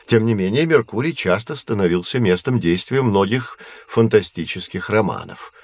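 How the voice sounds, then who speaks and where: An actor playing a patient, knowledgeable astronomy professor who explains things in a measured, didactic way in an educational audio drama. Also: male voice, lowish voice, big pitch swings, darkish tone, dry recording. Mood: instructive. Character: male astronomy professor, patient, knowledgeable teacher of curious children.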